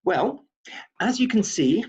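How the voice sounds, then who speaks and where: Husky, glib doctor played by a man. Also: high-pitched, very animated, slow, a little mellow, full character voice. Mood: flippant. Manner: glib, patronising. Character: flippant, officious male hospital doctor, pompous and joking. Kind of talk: scripted table read. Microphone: video call. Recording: dull, muffled video-call audio.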